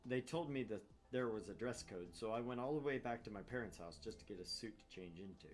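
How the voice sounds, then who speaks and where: Low-voiced man hosting a gaming stream. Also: lively intonation, a little fast. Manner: joking, profane. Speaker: gaming channel host. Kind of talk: casual banter and reading a visual novel aloud. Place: room at home, not soundproofed. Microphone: shared open microphone. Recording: open-mic room noise, music behind. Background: music.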